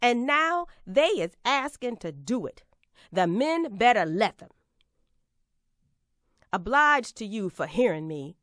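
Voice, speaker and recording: A woman gives voice to a defiant aging orator who builds her case through folksy questions and hammering refrains. The voice sits high and swings widely, with a bright, forceful edge. She takes her time, and the recording is dry and close. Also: big pitch swings, takes it slowly, long pauses, dry recording, full character voice. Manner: folksy rhetorical questions, rising to emphatic refrains. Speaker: reader performing a historical speech. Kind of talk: dramatic recitation of an 1851 speech.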